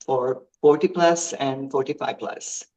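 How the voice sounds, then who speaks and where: A woman answers interview questions on a podcast. She speaks slowly and haltingly, pausing often and filling the gaps with ums and uhs as she searches for her words. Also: a bass voice, a little mellow, low-quality recording. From Croatia. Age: 50s. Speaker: guest athlete.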